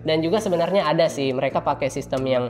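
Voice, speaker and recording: A man's voice: on the high side, quick pace, a little mellow, in a large hall. A young adult man, talking informally and a bit hesitantly as a guest in a casual interview. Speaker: podcast guest, university student. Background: background music.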